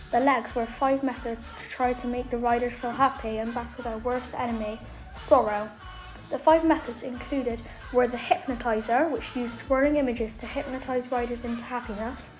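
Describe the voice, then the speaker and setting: Female documentary narrator, higher-pitched voice, light music bed underneath. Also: rough recording.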